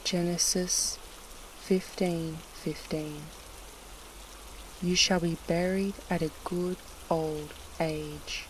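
A narrator reading scripture and prayer for a sleep meditation in a measured, solemn way with pauses between short phrases, in a devotional style. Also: mid-range voice, slight background noise, poor audio. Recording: steady rain sound over the voice. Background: soft rain.

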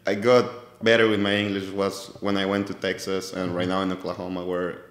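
A Colombian man in his twenties speaks English with a Spanish accent, relaxed and laughing easily, filling pauses with "like". His voice sits low. The office studio around him sounds roomy and echoey. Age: 20s. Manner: easygoing, laughs often, many "like" fillers. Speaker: podcast guest, goalkeeper coach. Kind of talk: casual interview chat. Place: office room used as a podcast studio. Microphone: studio mic on a stand, headphones.

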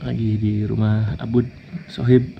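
A man speaks slowly and playfully. He talks into an action camera on a porch at night. The sound is dull and muffled, with a loud hiss behind it. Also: fairly low pitch, level tone, vocal fry. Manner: playful, coaxing talk to a toddler. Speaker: motovlogger. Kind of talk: casual handheld vlog chat. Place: outdoor porch of a house at night. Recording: dull camera audio with loud background noise.

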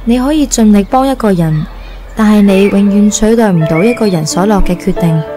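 A woman acting a kindly nun in a radio drama, giving measured, philosophical advice in Hong Kong Cantonese. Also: medium pitch, music in the background, natural voice. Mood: earnest, guiding. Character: calm, kindly nun counselling a grown orphan.

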